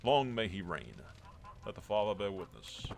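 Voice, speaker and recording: A man's voice: lowish voice, big pitch swings, normal speed, gravelly. A man voicing a solemn officiant formally proclaiming a medieval fantasy king in a game roleplay.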